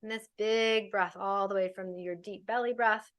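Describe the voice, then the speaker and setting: A woman's voice: medium pitch, steady tone. Woman guiding a meditation. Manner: soothing, unhurried instruction with pauses. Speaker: guided meditation teacher. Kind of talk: guided meditation.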